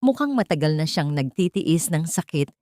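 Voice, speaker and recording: A woman voicing a calm hospital doctor who explains things in a measured, matter-of-fact way. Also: mid-range voice, no room sound, studio quality, close to an everyday voice. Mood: serious.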